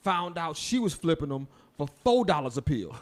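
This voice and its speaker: A man's voice: fairly high pitch, big pitch swings, moderate pace. A man co-hosting a podcast, telling a long story in a casual, laughing manner with lots of swearing. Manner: laid-back, laughing, swearing, acts out quoted voices. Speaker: podcast co-host.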